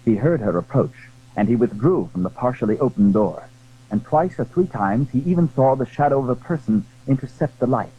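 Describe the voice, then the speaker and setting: A man narrating a classic adventure story in a dramatic, scripted style, with a husky voice. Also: mid-range voice, animated, brisk, narrow, tinny audio.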